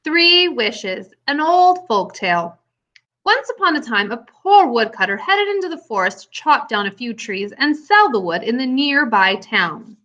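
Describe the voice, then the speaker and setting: A woman's voice: a little high, sing-song, a little slow, pushed and bright. A woman teacher hosting and narrating a scripted storybook tale for children. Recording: dull lo-fi recording.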